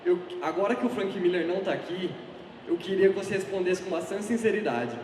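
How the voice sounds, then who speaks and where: A man's voice: sits high, quick pace, darkish tone, slight background noise. A man asking a direct question from the audience. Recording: distant echoey hall.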